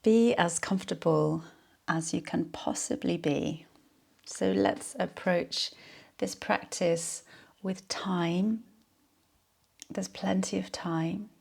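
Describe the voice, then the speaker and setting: Slow, soothing woman guiding relaxation. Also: lowish voice, steady tone, vocal fry, darkish tone. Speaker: yoga nidra teacher. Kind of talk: guided relaxation meditation.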